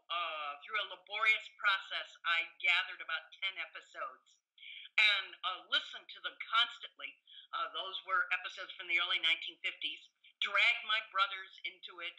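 A woman in her sixties, a professor and podcast guest, telling personal stories conversationally in an animated way. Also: lowish voice, low-quality recording. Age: 60s. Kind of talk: conversational interview, personal anecdotes.

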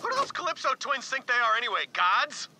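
A boastful bro bandit. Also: male voice, extremely high pitch, huge pitch swings, a little fast, creaky, a loud crowd, heavily acted. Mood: chummy. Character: boastful goofy bandit leader man. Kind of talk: video game character dialogue. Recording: game audio under noisy gameplay.

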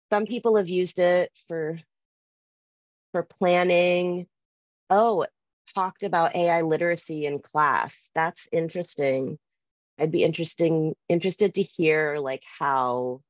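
Low-voiced woman presenting, thinking aloud. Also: no echo. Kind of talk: informal conference workshop talk, reading out poll responses. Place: video call from a room with bookshelves. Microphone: computer mic on video call. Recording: slightly dull video-call audio.

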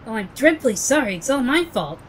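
A woman's voice: fairly high pitch, sing-song, a little fast, a little hiss or hum. A woman playing a troubled, determined young comic book heroine thinking aloud, recorded with echo at a distance. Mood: startled. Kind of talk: comic book dub acting, inner monologue. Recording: echoey, distant from the mic.

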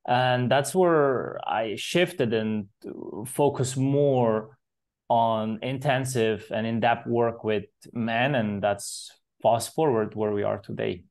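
A young man from Switzerland speaks as a fitness coach guest on a video call podcast. He explains things earnestly and steadily at a mid-range pitch. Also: slow pace, darkish tone. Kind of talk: podcast interview answers. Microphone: video call webcam with earphones.

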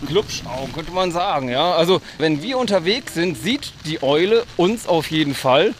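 A man's voice: a little high, animated, moderate pace. A man working as a nature guide, casually explaining things to children. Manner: asks questions and echoes children's answers. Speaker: conservationist leading a nature walk. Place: farm estate at night, outdoors and in a barn. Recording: noisy outdoor field recording.

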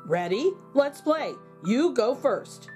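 A woman's voice: a little high, sing-song, takes it slowly, a little mellow, soft background music, pitched above the performer's own voice. A woman voicing a playful, sly storybook man with a breezy, teasing, mock-innocent manner. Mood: sly, instructive. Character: playful, sly man who outwits goblins. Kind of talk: children's storybook reading.

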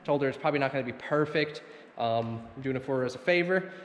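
A man in his car shop casually showing off the day's work, with loose, rambling talk full of fillers and restarts. His voice sits at an ordinary pitch, and the camera mic picks up faint noise behind him. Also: quick pace. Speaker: auto detailing and wrap shop owner. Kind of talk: casual shop vlog walkthrough. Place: car shop and lot, in and out of doors.

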